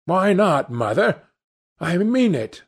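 Man as a gravelly, grumbling young lad. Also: sits high, sing-song, slow, voice pushed up, full character voice. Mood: earnest. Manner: grumbling, blunt. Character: rough, stern, good-natured young lad, clumsy and thick-set.

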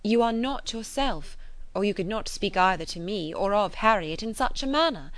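A woman's voice: mid-range voice, expressive, normal speed, fairly bright tone, no echo, natural voice. A woman narrator acts a proud young lady of good breeding in a classic novel. She speaks formally and with indignant emphasis, holding firm against offence. The recording is dry, with no room sound. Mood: stern. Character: indignant, proud, well-bred young lady rebuffing a suitor. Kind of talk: dramatised classic novel reading.

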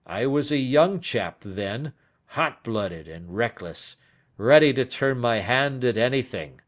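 A weary old man near death, acted by a male audiobook narrator. He is bitter and anguished, with heavy emphasis on his words. The delivery is slow and drawn out. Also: mid-range voice, lively intonation, pitched above the performer's own voice. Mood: confessional. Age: older. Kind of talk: audiobook character acting.

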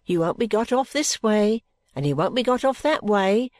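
A hearty, sharp-tongued soldier's wife as a woman reader plays her in a storybook. She scolds with fond, emphatic indignation, her pitch swinging widely, in a dry, close recording. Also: very animated, moderate pace, dry recording. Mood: exasperated. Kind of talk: storybook character dialogue.

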